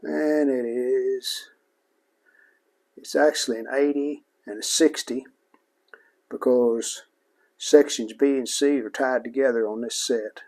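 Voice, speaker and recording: A man giving a casual repair demonstration, plainspoken and unhurried, speaking in short phrases as he works. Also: mid-range voice, steady tone, a little mellow. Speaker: host of a vintage electronics repair channel.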